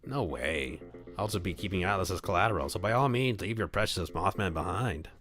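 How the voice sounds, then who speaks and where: A man's voice: low voice, animated, a little fast, fairly bright tone. A man voicing a showy, taunting, villainous game show host, with music behind. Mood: smug showmanship. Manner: mocking showman.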